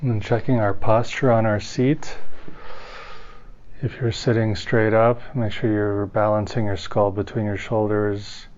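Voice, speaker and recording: A man with a low, very mellow voice leads a guided meditation. His delivery is calm and gentle, staying very even in tone from phrase to phrase. The recording is slightly dull and muffled. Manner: calm, gentle, unhurried guiding. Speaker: meditation teacher.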